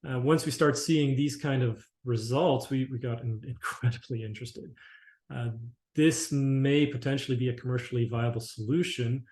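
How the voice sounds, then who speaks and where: A man's voice: medium pitch, normal speed, a little mellow. A man from Canada giving a webinar presentation for his company, rambling with many restarts and fillers. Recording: echoey room.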